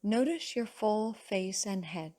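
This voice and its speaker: Slow, soothing woman guiding meditation. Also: medium pitch, lively intonation, warm tone. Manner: soothing, measured, with long pauses. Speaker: yoga teacher guiding meditation. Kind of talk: guided relaxation meditation.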